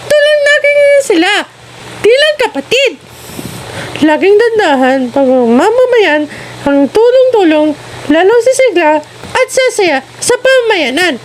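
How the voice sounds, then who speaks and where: A woman plays an eager cartoon kid with an extremely high voice. The youngster chats to little viewers in bright exclamations, like a scripted children's show. There is clear noise on the recording. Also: very animated, unhurried, heavily acted. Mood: cheerful.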